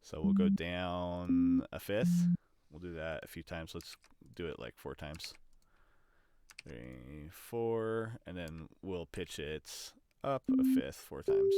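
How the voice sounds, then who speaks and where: A deep-voiced man presents a casual tutorial in a smooth, clear tone. He thinks aloud, hesitating as he explains each step. The sound is very dry and close. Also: sing-song, slow pace, warm tone, no room sound. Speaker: audio production tutorial presenter. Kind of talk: casual explanatory screen demonstration.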